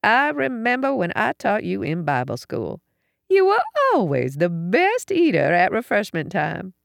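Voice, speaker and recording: A woman voicing a calm, kindly older mother with a quiet, gentle, soothing manner in an audiobook story. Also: medium pitch, measured pace, gravelly, no echo, studio quality, exaggerated voice.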